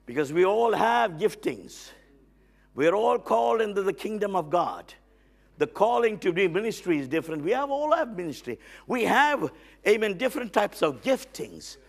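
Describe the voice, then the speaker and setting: A middle-aged man preaching a lively sermon as a guest on a church stage, loud and excitable, with frequent amens and hallelujahs. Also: sits high, moderate pace, creaky. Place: church stage with band instruments.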